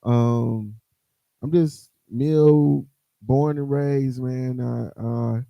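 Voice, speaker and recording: A man with a gravelly, fry-heavy voice jokes around as a podcast guest. He sounds close and dry, with no room around him. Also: mid-range voice, fairly even intonation, very slow, no room sound, lo-fi sound. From Milwaukee, USA. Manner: joking, playful. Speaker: clothing store co-owner, podcast guest. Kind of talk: casual chat.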